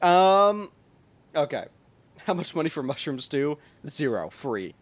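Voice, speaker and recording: Male gaming host, young, fairly high voice, casual and joking, very varied intonation. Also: big pitch swings, measured pace, no echo. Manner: reactive, exclamatory, joking. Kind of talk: casual playthrough commentary. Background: game music.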